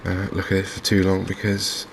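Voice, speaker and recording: Softly spoken man, low and very even. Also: vocal fry, slight background noise. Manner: calm, understated, unhurried running commentary. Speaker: gaming video host. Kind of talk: softly spoken ASMR game commentary.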